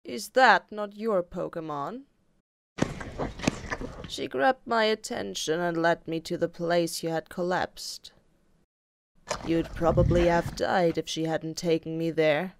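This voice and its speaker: Woman acting a creaky-voiced, composed doctor. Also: fairly low pitch, slow pace, pauses often. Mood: calm. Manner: calm, matter-of-fact. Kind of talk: manga dub acting. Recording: clearly noisy, clicky microphone recording.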